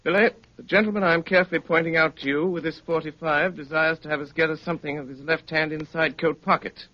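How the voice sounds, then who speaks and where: An actor playing a cool, sardonic, commanding gentleman detective in a 1930s radio drama, speaking with clipped, dryly polite authority. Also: fairly high pitch, fairly even intonation, quick pace, natural voice. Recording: muffled, noisy 1930s radio recording.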